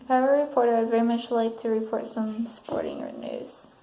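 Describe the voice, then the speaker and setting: A woman doing a soft-spoken ASMR counselor roleplay, reading out assessment statements in an unhurried way with pauses. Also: a little high, wildly swooping pitch, creaky. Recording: roomy sound, faint background noise.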